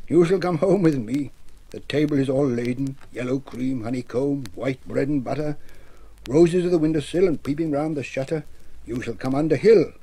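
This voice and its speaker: A man's voice: medium pitch, normal speed, warm tone, breathy edge. A man voicing a merry, fearless old woodland man in a rhymed poem, bold and sing-song. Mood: cheerful.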